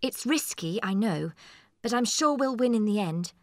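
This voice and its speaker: A woman's voice: medium pitch, animated, normal speed, darkish tone, dry recording, clean studio sound, lightly acted. An actress playing a clever, coy, scheming young woman in a dramatised audiobook. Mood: confiding. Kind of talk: dramatised audiobook character acting.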